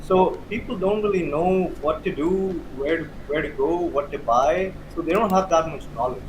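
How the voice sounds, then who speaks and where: A man's voice: a little high, moderate pace. A man in his thirties with a Pakistani accent, explaining things as an interview guest and often rephrasing himself. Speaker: interview guest, cigar hobbyist. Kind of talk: casual interview chat. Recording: clear steady background noise.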